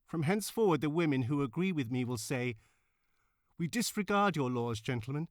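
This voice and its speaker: A man's voice: fairly high pitch, animated, brisk, no echo, studio quality, pitched above the performer's own voice, natural voice. A man voicing an angry, defiant suffragette leader giving a rally speech in a declamatory, emphatic way. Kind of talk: rally oratory in a read-aloud history.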